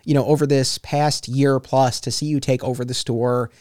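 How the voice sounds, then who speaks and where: A man's voice: medium pitch, fairly even intonation, moderate pace, a little mellow, no room sound, clean studio sound. A man hosting a podcast, rambling a little with lots of fillers and restarts. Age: 30s. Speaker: podcast host. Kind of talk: casual interview chat.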